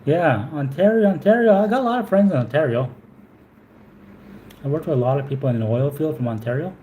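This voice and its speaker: A man's voice: on the high side, lively intonation, a little fast, phone-quality sound, a little hiss or hum. A man from Alberta with a Canadian accent, chatting casually on a live stream, answering viewers and repeating his words as he rambles.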